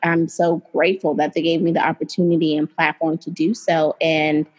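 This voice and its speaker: A woman's voice: low voice, flat delivery, normal speed. A woman in her late twenties, a writer and editor, talking earnestly and reflectively as an interview guest, with fillers. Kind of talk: casual interview talk. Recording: dull lo-fi audio.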